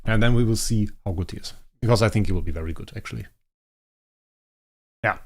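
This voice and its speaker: A young man, a native German speaker, casually thinking aloud as he streams a game, his sentences often trailing off quietly. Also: lowish voice, fairly quick, creaky. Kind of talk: casual livestream commentary.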